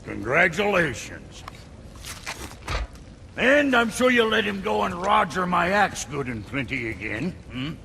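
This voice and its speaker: An actor playing a crude, irascible, foul-mouthed blacksmith in a video game, with a colourful, grumbling delivery. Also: male voice, high voice, big pitch swings, unhurried, gravelly, sharp tone, in a large hall, slight background noise, heavily acted. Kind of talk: video game cutscene acting.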